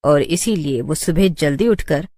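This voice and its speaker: Female narrator, scripted storytelling, lowish pitch, close dry recording. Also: animated, unhurried, dark tone, no echo.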